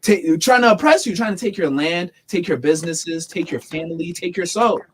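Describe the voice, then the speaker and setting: Animated, emphatic young man, fairly high voice. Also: brisk. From United States. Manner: animated, emphatic, repeats words while building points. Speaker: podcast host. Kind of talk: casual podcast discussion.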